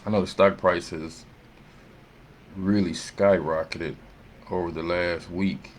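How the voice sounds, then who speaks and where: Male presenter, deep voice, off-the-cuff earnings rundown, conversational and halting, audible background noise. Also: steady tone. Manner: conversational, halting, seeks agreement with tag questions. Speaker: presenter of an investment earnings summary. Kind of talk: informal unscripted financial commentary over slides.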